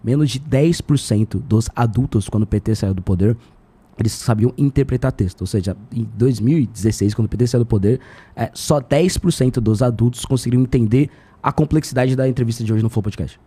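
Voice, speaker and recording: A man's voice: medium pitch, a little fast, dark tone, slightly rough, dry recording. A Brazilian man in casual political discussion, speaking colloquially and repeating himself for emphasis.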